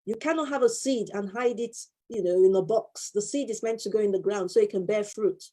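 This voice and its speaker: Woman in her fifties, life coach, earnest motivational talk, warm mellow tone, repeats key phrases. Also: mid-range voice, fairly quick. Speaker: life coach and former solicitor.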